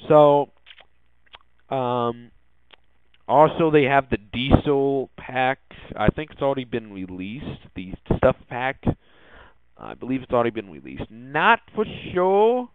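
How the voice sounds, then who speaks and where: Halting man on a tinny, phone-like line. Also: mid-range voice, clear, resonant tone, dry recording. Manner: halting, hesitant delivery with repeated words. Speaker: podcast host. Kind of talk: casual tech and gaming news commentary. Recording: tinny, phone-like narrowband sound.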